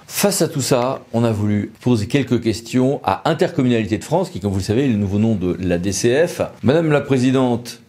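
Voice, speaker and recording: A man's voice: medium pitch, expressive, brisk, few pauses, warm tone. A man hosting a legal news programme, going through points in long hesitant lists and putting questions to guests. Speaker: host and interviewer. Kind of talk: legal news briefing and interview.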